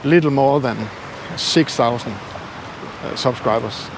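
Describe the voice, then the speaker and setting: An older man with a non-native English accent, talking casually and haltingly to viewers in a self-deprecating way as a travel vlogger. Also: medium pitch. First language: not English. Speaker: travel YouTuber. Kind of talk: casual vlog talk to camera. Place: outdoors. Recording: noisy outdoor street ambience with traffic.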